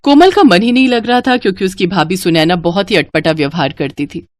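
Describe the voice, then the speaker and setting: A woman narrating a family cartoon story in a steady, matter-of-fact way. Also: lowish voice, a little fast, a little hiss or hum.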